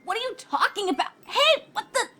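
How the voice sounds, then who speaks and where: A woman's voice: extremely high pitch, sing-song, brisk, over music, voice pushed up. A woman voicing a feisty, indignant young female pegasus pony in a story reading.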